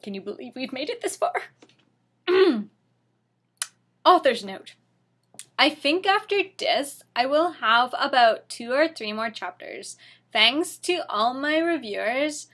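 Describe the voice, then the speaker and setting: A woman's voice: sits high, expressive, takes it slowly, pauses often, a bit bright. A woman giving a comedic dramatic reading, theatrical and mock-sorrowful, with wry asides. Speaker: reader.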